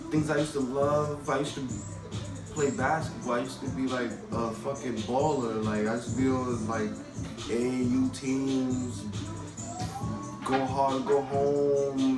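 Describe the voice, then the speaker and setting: A man's voice: mid-range voice, reverberant, recorded from a distance, poor audio. A man from Queens with a New York accent, talking loosely in street slang as a rapper interview guest. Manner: laid-back street slang, loose and rambling. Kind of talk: casual interview.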